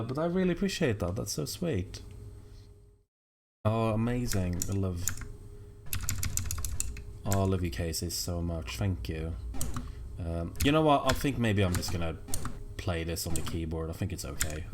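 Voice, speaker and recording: Soft-spoken male streamer, lively lilt. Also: sits low, normal speed, long pauses, background noise. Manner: soft-spoken, reads viewer chat aloud and thanks viewers warmly. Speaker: game streamer. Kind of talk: casual live-stream chat while gaming.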